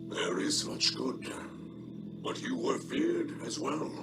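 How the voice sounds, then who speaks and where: A man voicing a solemn, honorable alien warrior and father, gravelly and breathy, speaking in grave, halting phrases, as video game dialogue. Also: a little high, huge pitch swings, over loud music, full character voice. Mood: solemn, reflective.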